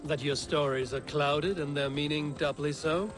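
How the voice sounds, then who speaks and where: An actor playing a plain-spoken Viking warrior leader in a video game, terse, dry and wary. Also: male voice, fairly high pitch, fairly even intonation, moderate pace, close to an everyday voice. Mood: dry, skeptical. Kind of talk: dramatic video game dialogue. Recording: noisy game audio.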